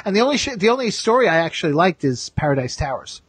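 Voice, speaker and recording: Man, podcast host, high-ish pitch, lively intonation, talkative, emphatic, casual fan chat. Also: fast talker, breathy edge, no room sound.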